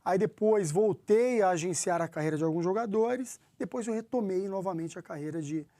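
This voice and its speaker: Man, football executive guest, high mellow voice, measured and self-correcting, dry sound. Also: dry recording. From São Paulo, Brazil. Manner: measured, with frequent restarts. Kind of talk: interview answers.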